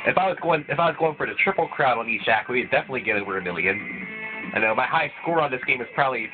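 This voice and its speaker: A man's voice: mid-range voice, lively intonation, quick pace. Man with a cold, tinny mic. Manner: rambling, with frequent restarts. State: voice thickened by a cold. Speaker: let's play gamer. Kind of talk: casual gameplay commentary. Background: video game music playing.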